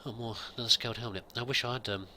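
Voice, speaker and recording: A man's voice: mid-range voice, fairly even intonation, very fast, bright, forceful tone, slight background noise. A man thinking aloud while roleplaying a pilgrim in a video game, musing and hesitant with many restarts. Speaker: let's play gamer roleplaying a pilgrim. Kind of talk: in-character gameplay commentary.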